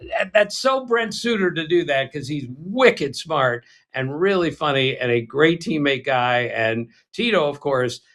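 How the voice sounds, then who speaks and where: Older male co-host, animated storyteller, raised pitch. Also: unhurried, hardly any pauses. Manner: animated storyteller, breaks into impressions. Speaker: podcast co-host. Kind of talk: casual chat.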